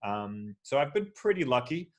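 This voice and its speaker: Male guest from Portugal, relaxed and rambling, on a video call. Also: mid-range voice, moderate pace. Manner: relaxed, rambling with many fillers and restarts. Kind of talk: casual video call chat. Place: shared office space.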